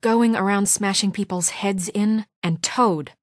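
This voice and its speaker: An actress playing a stern, principled young woman leader in a video game, earnest, measured and formal, weary but composed. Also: medium pitch, dry recording, clean studio sound, close to an everyday voice. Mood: stern, urgent. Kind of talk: dramatic video game voice acting.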